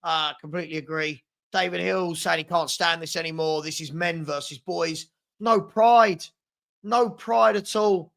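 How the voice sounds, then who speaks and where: High-pitched, hoarse British male fan host. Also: fairly even intonation, unhurried, fairly bright tone. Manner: exasperated, emphatic, repeats phrases for effect. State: hoarse, losing his voice. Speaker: host of football watchalong stream. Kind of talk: live fan commentary, reading viewer comments.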